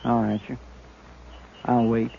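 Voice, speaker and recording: An actor playing a loyal, earnest frontier deputy in a radio western, with a folksy, deferential way of talking. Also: male voice, medium pitch, little pitch movement, slow, creaky, soft background music. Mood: indignant, eager. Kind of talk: 1950s radio western acting. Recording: muffled, noisy old radio recording.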